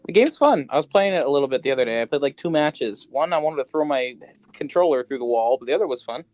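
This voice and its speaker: A man's voice: mid-range voice, lively intonation, fast, darkish tone, no echo. A man co-hosting a podcast, bantering casually with a playful, sweary, irreverent manner. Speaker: podcast co-host.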